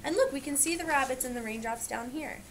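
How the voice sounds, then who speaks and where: A woman reading a picture book aloud to young children, pausing to explain and point things out. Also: high voice, slight background noise, poor audio. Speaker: reader teaching young children.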